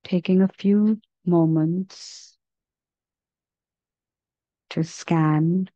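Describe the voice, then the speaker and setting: Slow, low-voiced woman guiding meditation. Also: fairly even intonation, no room sound, highs cut off. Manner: gentle, measured, with long pauses. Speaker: meditation guide. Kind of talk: guided meditation.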